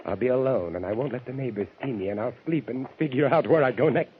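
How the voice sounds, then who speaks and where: A man voicing an escaped poet turned killer in a 1950s radio drama, with feverish inner monologue swinging between panic and hushed whispers, on a noisy, muffled old radio broadcast. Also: mid-range voice, quick pace, natural voice. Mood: hushed menacing. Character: male poet escaped convict, unhinged killer. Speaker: lead actor in radio play.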